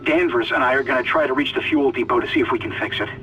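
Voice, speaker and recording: An actor playing a shaken, anxious chief engineer on a besieged spaceship, in a dramatic video game audio log. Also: male voice, mid-range voice, rapid-fire, bright, forceful tone, highs cut off, close to an everyday voice. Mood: grim, weary.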